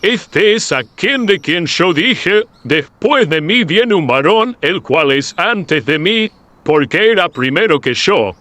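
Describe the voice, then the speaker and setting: A man's voice: high-pitched, expressive, takes it slowly, sharp tone, dry recording, full character voice. A man playing an earnest, solemn desert prophet in a Bible radio play, proclaiming with emphasis. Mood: fervent testimony.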